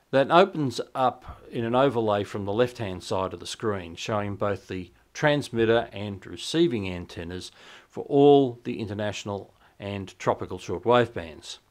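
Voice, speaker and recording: Australian male tutorial narrator, lowish pitch, measured explanatory delivery. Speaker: shortwave radio hobbyist, presenter. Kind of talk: instructional software walkthrough narration.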